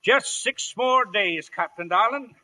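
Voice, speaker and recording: Irish army sergeant, old radio western, high bright voice, devoted and full of blarney. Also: male voice, a little slow, full character voice, poor audio. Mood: fondly regretful. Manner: deferential, sentimental, blarneying. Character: genial, devoted Irish cavalry sergeant fond of whiskey. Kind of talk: 1950s radio drama acting.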